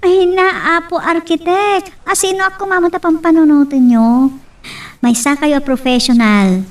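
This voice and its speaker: A woman with an Ilocano accent playing a modest, gently teasing working daughter in a romantic audio drama. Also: very high-pitched, unhurried, far from the mic. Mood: coy, teasing. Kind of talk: Ilocano radio-style romantic drama acting.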